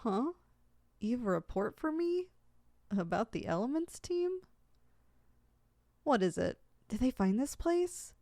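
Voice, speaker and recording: A woman's voice: on the high side, warm tone, no room sound, clean studio sound. A woman playing a proud but easily flustered, lovesick young supervillain in an affectionate, dramatic ASMR roleplay. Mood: curious, concerned.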